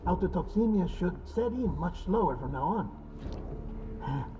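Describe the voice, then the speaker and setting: An actor playing an earnest middle-aged scientist in a video game, explaining things in a precise, clinical way. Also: male voice, high-pitched, animated, a little slow, muffled recording, noisy background. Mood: cautious, matter-of-fact. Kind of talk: video game cutscene acting.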